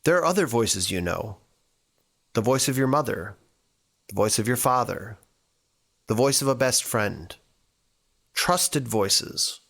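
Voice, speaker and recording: A man reading scripture and reflecting on it as a church devotional, speaking earnestly in deliberate, short phrases. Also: medium pitch, animated, a bit bright. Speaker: church staff member giving a devotional.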